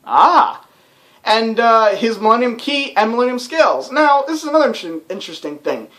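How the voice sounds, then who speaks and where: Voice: mid-range voice, lively intonation, normal speed, a bit bright. A young adult rambling casually as a let's play commentator while playing a video game. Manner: rambling and chatty, with many fillers. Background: game soundtrack music.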